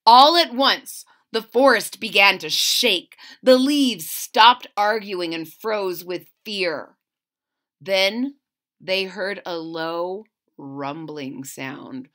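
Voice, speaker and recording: A woman's voice: mid-range voice, drawn-out words, a bit bright. A woman reading a children's story aloud expressively, with asides and questions to her listeners. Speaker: storybook reader.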